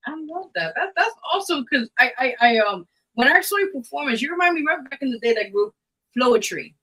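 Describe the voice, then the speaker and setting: A woman's voice: sits high, expressive, brisk, bright, forceful tone, slightly rough, low-quality recording. A woman hosting a casual radio interview, conversational and affirming toward the guest. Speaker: radio show host.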